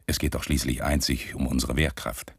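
A man's voice: a bass voice, flat delivery, normal speed, breathy edge, no echo, studio quality, exaggerated voice. A male actor playing a wartime German regime loyalist, passing on news in a confiding, matter-of-fact radio monologue. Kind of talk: scripted radio play monologue.

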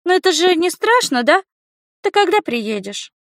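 A woman's voice: extremely high pitch, big pitch swings, moderate pace, a bit bright, dry recording, clean studio sound, pitched above the performer's own voice, heavily acted. A woman voicing a chirpy, eager, slightly sheepish young girl with light, childlike phrasing in an audiobook. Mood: sheepish, hopeful. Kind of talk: audiobook character voice.

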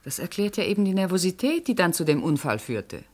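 Guarded woman under questioning, low-pitched, animated intonation, self-assured, formal, evasive, radio play. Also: a little fast. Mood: defensive. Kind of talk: German radio play dialogue.

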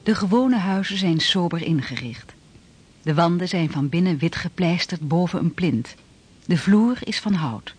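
A man's voice: sits high, expressive, a little slow, a little mellow. A man reading scripted, descriptive narration within a radio play.

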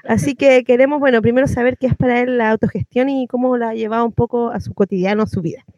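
A woman's voice: a little high, steady tone, fast, darkish tone, dry recording, dull audio. A woman with a Chilean accent hosting an informal community radio show in a colloquial, familiar way. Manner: colloquial, addresses listeners familiarly. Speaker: radio host.

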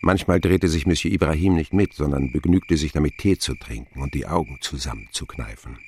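An actor playing a grown man looking back on his boyhood, narrating a radio drama in a measured, reflective way. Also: rumbling low, a little mellow, slight background noise. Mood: wry, reflective.

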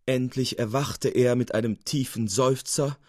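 A man narrating a literary tale in a formal, dramatic, expressive storytelling style. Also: mid-range voice, unhurried, no room sound, clean studio sound.

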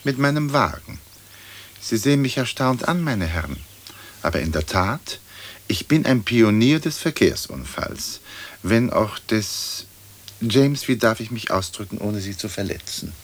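An actor playing a haughty, verbose old English lord's ghost in a German radio play, with pompous, formal speech. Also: male voice, on the low side, lively intonation, unhurried. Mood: haughty, narrating.

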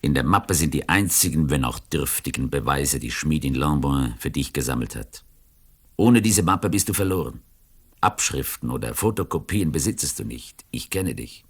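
An actor in a radio play as a cynical, taunting older criminal, speaking in a deliberate, eloquent, mocking way. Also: male voice, extremely low pitch, animated, breathy edge, full character voice. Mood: mocking, self-assured.

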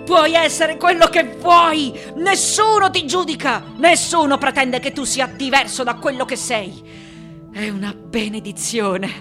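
A woman's voice: high voice, fairly bright tone. An actress playing a passionate, eccentric woman artist in a theatrical, emphatic radio monologue. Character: passionate, eccentric woman sculptor reminiscing about New York.

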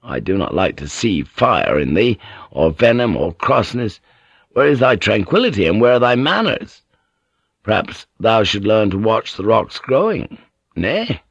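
A man's voice: lowish voice, sing-song, measured pace, creaky, slightly rough, dry recording, highs cut off, exaggerated voice. A man voicing a blunt, probing, passionate foreign sailor, speaking directly and insistently in audiobook dialogue. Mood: stern, affectionate. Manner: direct, insistent, at times archaic phrasing.

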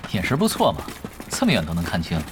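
A man's voice: low voice, wildly swooping pitch, quick pace, background noise. A young man playing a laid-back but coolly commanding expert gamer in an audio drama. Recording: music behind the dialogue.

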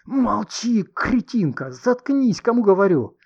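A man plays a nasty storybook man, rude and menacing. He is hot-tempered and cornered, in a fairly high voice on a slightly muffled recording. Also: lively intonation, moderate pace, darkish tone, close to an everyday voice. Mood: hissing, threatening. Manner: hissing and barking, rude.

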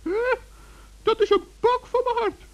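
A man playing a small, kind-hearted forest gnome in a children's radio play, hesitant and innocent in a creaky, squeaky voice. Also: steady tone, a little slow. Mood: meek. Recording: dull vintage radio recording.

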